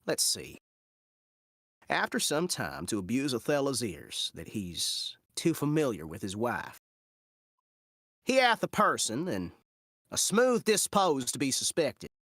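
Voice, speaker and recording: Male actor as plotting Shakespearean villain, drawling mock Southern, animated, savoring every scheme. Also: mid-range voice, no echo, clean studio sound, pitched above the performer's own voice, heavily acted. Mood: sly plotting. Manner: drawling, deliberate, musing aloud. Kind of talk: theatrical Shakespeare monologue.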